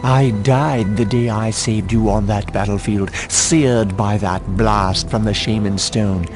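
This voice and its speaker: An actor playing a scheming, gloating undead villain in a video game, mocking and dramatic in manner. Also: male voice, lowish voice, steady tone, takes it slowly, music in the background. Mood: brooding. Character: scheming, gloating undead villain, betrayer of his old friend.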